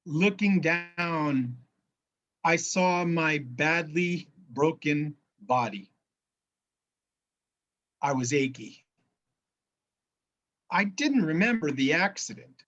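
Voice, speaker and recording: Slow, careful man with a New Jersey accent. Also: a little high, expressive, fairly bright tone, dull audio. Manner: slow, careful speech after a brain injury, occasional word restarts. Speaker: minister. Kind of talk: sermon.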